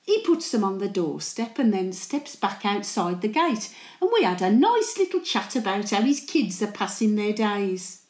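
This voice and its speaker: An older woman playing a chatty, cheeky, warm-hearted working-class Birmingham great-grandmother, gossiping and rambling through a monologue. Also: mid-range voice, big pitch swings, normal speed, roomy sound, lightly acted. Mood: gossipy. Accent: Birmingham (Brummie). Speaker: actor performing a dramatic monologue.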